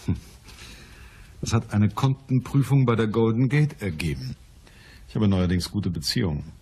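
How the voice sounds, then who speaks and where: A man's voice: lowish voice, normal speed, mellow, a little hiss or hum, close to an everyday voice. An actor playing a shrewd, businesslike male private detective in a radio crime play.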